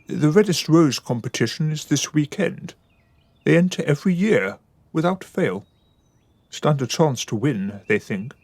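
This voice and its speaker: Man acting a stiff nobleman with creaky voice. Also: on the high side, lively intonation, measured pace, a little mellow, no room sound. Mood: puzzled, insistent. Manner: formal, stiff, old-fashioned phrasing. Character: worried, firm nobleman and father. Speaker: actor in a radio drama. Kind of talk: theatrical period radio drama acting.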